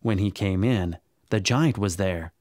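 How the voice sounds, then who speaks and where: A man narrating a scripted children's bedtime story in a dramatic, expressive way. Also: deep voice, measured pace, no room sound, clean studio sound. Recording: music under the narration. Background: music.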